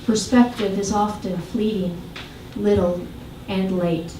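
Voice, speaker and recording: A woman giving a poetry reading, reciting with deliberate, dramatic phrasing and emphatic lists. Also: mid-range voice. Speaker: poet. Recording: echoey room, distant mic, faint noise.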